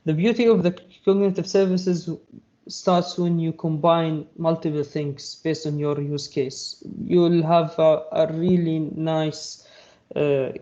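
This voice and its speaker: A man's voice: a little high, warm tone. Arabic native guy explaining tech stuff, lots of uhs and restarts, kinda muffled audio. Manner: halting, many fillers and restarts. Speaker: presenter. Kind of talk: technical talk.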